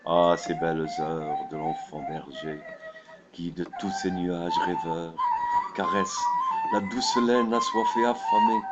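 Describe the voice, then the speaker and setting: A man reciting his own poem in a solemn, declamatory way, with measured phrasing. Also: low-pitched. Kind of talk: solemn poetry recitation with a dedication. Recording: voice over prominent flute music. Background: flute music.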